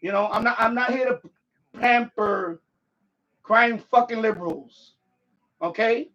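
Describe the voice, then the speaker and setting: A man's voice: very high voice, unhurried, bright, forceful tone, highs cut off. A man live streaming casual commentary in a blunt, combative, sweary way.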